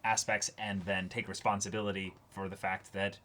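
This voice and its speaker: A man's voice: deep voice, little pitch movement, normal speed, fairly bright tone. A man chatting casually and wryly as co-host of an anime reaction show. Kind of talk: casual chat discussing an anime episode.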